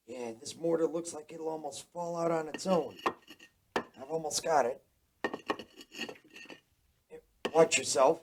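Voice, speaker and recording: A man's voice: on the high side, unhurried, pauses often, background noise. A man acting in a radio drama as a bold, eager young man who takes charge in urgent, exclamatory bursts. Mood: curious.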